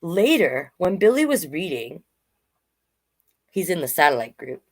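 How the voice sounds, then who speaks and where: A woman's voice: lowish voice, sing-song, a little slow. A woman hosting a storytime, reading aloud to children and chatting to listeners with questions and asides. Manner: conversational, addresses listeners with questions.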